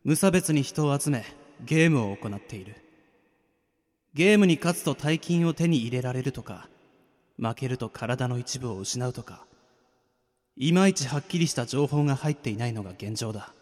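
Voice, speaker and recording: A man's voice: medium pitch, lively intonation, moderate pace, pauses often, darkish tone, close to an everyday voice. Man playing a defiant captive in heavy reverb. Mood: brooding, weary. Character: defiant male captive under interrogation, stubborn and secretive. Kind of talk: amateur radio drama acting. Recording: long hall reverb, faint music.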